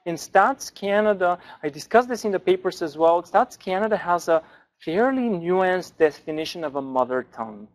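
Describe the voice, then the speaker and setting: A man, a language researcher, answering questions at an academic forum in a thoughtful way with frequent pauses and restarts. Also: sits high, animated. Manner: thoughtful, many fillers and restarts.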